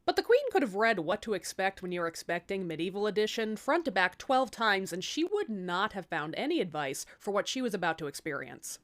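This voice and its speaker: A woman's voice: medium pitch, very animated, brisk, hardly any pauses. A woman telling a fairy tale in an irreverent, conversational way, with swearing and sardonic asides. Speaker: storyteller, series host.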